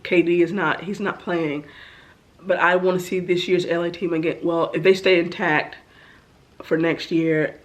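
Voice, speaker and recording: A woman's voice: on the low side, fairly even intonation, moderate pace, dull audio. A young woman, a bit stuffy from the flu, chatting casually through questions about herself with lots of ums. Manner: chatty, rambling, many ums. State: stuffy, getting over the flu. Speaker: vlogger. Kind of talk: casual get-to-know-me Q&A.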